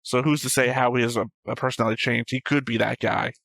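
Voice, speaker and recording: Man, mid-pitched voice, casual co-host chat, argumentative, wry humor, dry sound. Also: steady tone, brisk, gravelly, fairly bright tone, no echo. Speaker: reaction show co-host. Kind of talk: casual discussion of an animated series.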